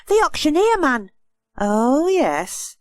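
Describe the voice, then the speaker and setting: A woman's voice: high voice, big pitch swings, slow pace, no room sound, exaggerated voice. A woman voicing an eager, earnest young boy, the son in a children's storybook recording. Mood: earnest, defensive. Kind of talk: audiobook character acting.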